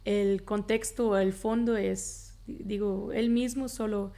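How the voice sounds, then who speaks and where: A woman in her thirties from Germany speaks as a missionary guest on a radio interview. Her tone is mellow with a gravelly edge and a mid pitch. She answers in a slightly halting way, often closing her phrases with a tag question. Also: steady tone. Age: 30s. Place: radio studio.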